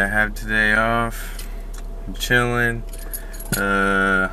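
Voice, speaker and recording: A man's voice: lowish voice, pushed and bright, slight background noise. A young man and father talking casually to a camera from his car, laid-back and slangy. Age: young adult. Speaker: vlogger, young dad. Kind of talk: casual vlog chat to camera. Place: inside a car, then a hotel gym.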